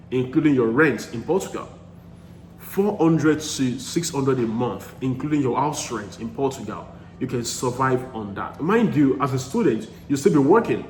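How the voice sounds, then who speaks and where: A man in the middle of his usual pitch range talks straight to camera, giving earnest, emphatic advice. He repeats key phrases to drive them home and often restarts his sentences. The room has a noticeable echo and a faint hum of noise behind him. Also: darkish tone. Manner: frequent restarts and fillers, earnest. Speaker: study-abroad advice vlogger. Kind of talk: casual advice talk to camera.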